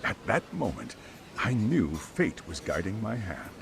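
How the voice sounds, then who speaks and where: A man's voice: lowish voice, huge pitch swings, unhurried, vocal fry, a little hiss or hum, full character voice. An actor playing a polite, formal middle-aged private school chairman in a video game cutscene, speaking in careful, wordy sentences. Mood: enthusiastic.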